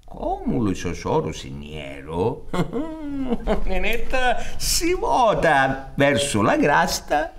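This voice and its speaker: An older Sicilian man tells a folk tale on stage at a close microphone. His high-pitched voice swings dramatically up and down, declaiming slowly like a seasoned storyteller. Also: wildly swooping pitch, fairly bright tone. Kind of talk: theatrical folk tale narration in Sicilian dialect. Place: theatre stage set up for radio recording. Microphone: close studio microphone on stand. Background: accordion music.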